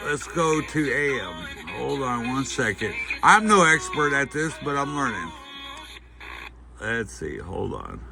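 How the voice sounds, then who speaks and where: A man giving a casual, unscripted product demonstration, talking in a halting, folksy way as he thinks aloud. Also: medium pitch, very animated, gravelly, over music. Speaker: host of a gear review channel. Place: indoors at home at night. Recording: faint background noise.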